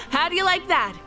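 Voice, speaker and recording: Game role: confident, playful warrior woman, high register, rousing delivery, light music bed. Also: heavily acted. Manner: assured, teasing, rousing. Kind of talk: English game voice acting.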